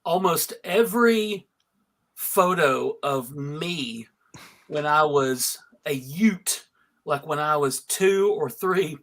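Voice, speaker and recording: A man's voice: fairly high pitch, animated, slow pace, fairly bright tone. A man hosting a casual panel, chatting and reminiscing with a wry, self-deprecating humor. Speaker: panel host. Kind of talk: casual chat, introducing and reminiscing.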